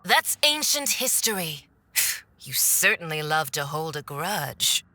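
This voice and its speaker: A woman's voice: deep voice, very animated, slow pace, bright, forceful tone, full character voice. A woman playing a haughty, mocking villainous diplomat in a video game, with a condescending, theatrical drawl. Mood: disdainful. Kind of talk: video game cutscene voice acting.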